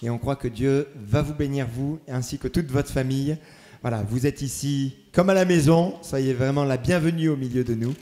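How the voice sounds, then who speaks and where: A man leading a church service, giving warm welcomes, announcements and prayers with a hesitant, pausing delivery. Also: mid-range voice. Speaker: church leader welcoming the congregation.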